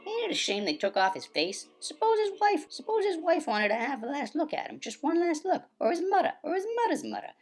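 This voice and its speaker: A man voicing a dim, excitable, sentimental police sidekick who rambles and repeats himself in a squeaky voice. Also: fairly quick, few pauses, faint music behind, full character voice. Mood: maudlin. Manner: rambling, repetitive, childlike.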